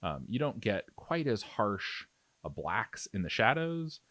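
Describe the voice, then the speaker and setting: A man's voice: on the low side, very animated, unhurried, fairly bright tone, no echo. A man giving a casual tutorial voice-over as a hobby painting instructor, explaining in a conversational, enthusiastic way.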